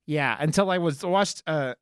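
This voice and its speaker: Podcast host, medium pitch, casual banter, teasing, crude humour, dry close sound. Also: moderate pace, clean tone, no room sound. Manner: teasing, profane joking.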